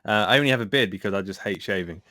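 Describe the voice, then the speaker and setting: Man, lowish pitch, podcast guest, casual jokey chat, very dry recording. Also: very fast, sharp tone, no echo.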